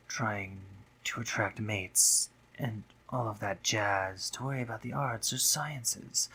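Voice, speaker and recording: A man playing a teasing, dominant, courtly spider monster in an intimate audio roleplay, theatrical and breathy, often dropping into whispers. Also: lowish voice, pushed and bright, exaggerated voice. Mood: playful, fond. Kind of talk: intimate scripted audio roleplay, addressing the listener.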